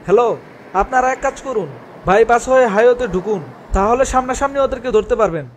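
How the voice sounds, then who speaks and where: A man doing a high-voiced cartoon sidekick. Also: fairly quick, slight background noise. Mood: urgent. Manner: protesting. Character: grumbling, put-upon younger male sidekick. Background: music.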